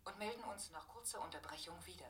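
An actress playing a formal, polite television continuity announcer, measured and apologetic, in a radio play. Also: female voice, on the low side, fairly bright tone, recorded from a distance, slight background noise, close to an everyday voice. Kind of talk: 1980s broadcast announcement. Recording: announcement from a television across room.

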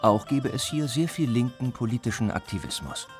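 A man reading a scripted report as a reporter, even and measured. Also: mid-range voice, soft background music. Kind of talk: scripted narration.

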